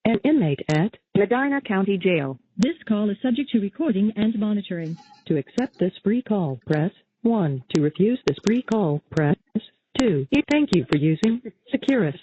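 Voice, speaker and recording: A woman's voice: mid-range voice, expressive, moderate pace, mellow. A woman reading formal automated jail phone prompts in segmented recorded phrases. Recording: dull, noisy jail phone line.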